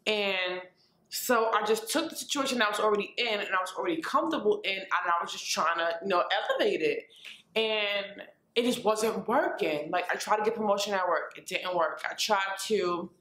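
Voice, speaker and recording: A woman's voice: mid-range voice, moderate pace, bright, forceful tone, roomy sound, low-quality recording. A woman in her twenties from New York, chatting casually in a vlog with fillers and restarts. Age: 20s. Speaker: vlogger. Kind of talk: casual life-update vlog. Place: her apartment.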